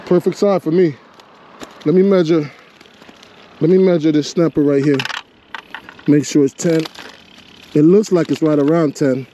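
A man's voice: on the high side, fairly even intonation, moderate pace, long pauses, dark tone, a little hiss or hum. A man fishing from a rocky shore outdoors, talking to himself and to the fish in casual running commentary, picked up by a body-mounted action camera. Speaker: fishing vlogger.

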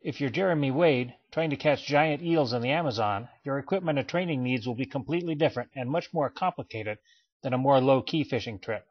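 A man narrates a training slideshow in a steady, explanatory manner, his voice sitting at an ordinary middle pitch. The recording sounds slightly dull and lo-fi, like a plain home voice-over. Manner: measured, even explanatory delivery. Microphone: voice-over, not recorded in pictured place.

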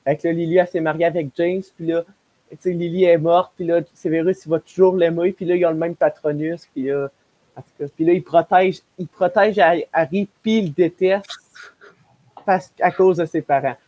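A young man with a Quebec French accent speaks in a fairly high register. He talks effusively and casually, doubling back on words for emphasis. The sound is dry, from a video call. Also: fairly even intonation, fairly quick, warm tone, no room sound. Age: young adult. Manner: effusive, repeats himself for emphasis. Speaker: podcast panelist. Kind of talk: casual group discussion. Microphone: wired earbuds on a video call.

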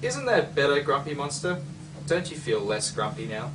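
A young man from Australia reading a children's picture book aloud, expressively, with dramatic pauses and exclamations. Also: fairly high pitch, sing-song. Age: young adult. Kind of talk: animated children's storybook reading. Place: library, among bookshelves. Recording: distant mic, noisy room ambience.

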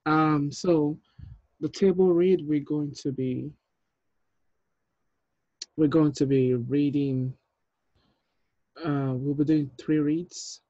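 Mellow man on an echoey call. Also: fairly high pitch, fairly even intonation, slow pace, long pauses. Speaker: host, filmmaker running a table read. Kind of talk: casual chat on a video call. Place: small room indoors, on a video call. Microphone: earphones on a video call. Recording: dull, echoey video-call audio.

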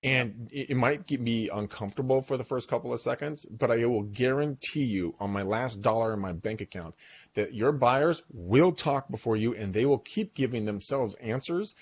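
A man in his forties hosting a podcast from his home office, talking with a guest over a video call and telling stories in an animated, talkative way. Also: medium pitch, moderate pace, breathy edge, dull audio. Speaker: podcast host. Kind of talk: casual video-call interview. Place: home dining room office. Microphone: boom mic on arm.

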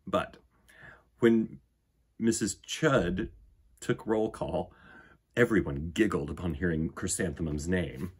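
A man in his forties narrating a children's picture book theatrically, with dramatic pauses and emphasis. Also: sits low, breathy edge. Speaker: storyteller reading a picture book. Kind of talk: animated children's storybook narration. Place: small indoor room with bookshelves.

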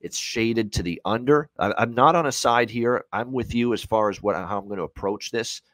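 Low-pitched man talking sports bets. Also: normal speed, fairly bright tone, no room sound. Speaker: sports betting analyst on a hockey preview show. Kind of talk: unscripted sports betting commentary. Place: small home room, indoors, on a video call.

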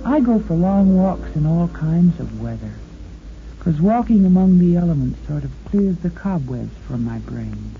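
A woman's voice: fairly low pitch, big pitch swings, a little slow. A woman hosting an old radio show in an intimate, sweet-talking monologue, addressing the listener as a sweetheart. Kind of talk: 1950s radio companion monologue. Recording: vintage 1950s radio, tinny narrow band. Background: music.

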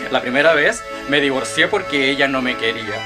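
An actor with a Chilean accent playing a boisterous, cheerful, middle-aged globetrotter in a radio play. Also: male voice, medium pitch, fairly quick, music in the background, natural voice. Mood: jovial bragging. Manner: exuberant, theatrical.

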